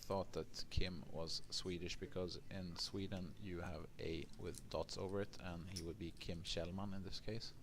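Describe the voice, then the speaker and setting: A man with a very deep voice calls a live poker table in a calm, measured way, picking up questions from viewers. A clear hum of background noise sits behind him. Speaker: poker co-commentator.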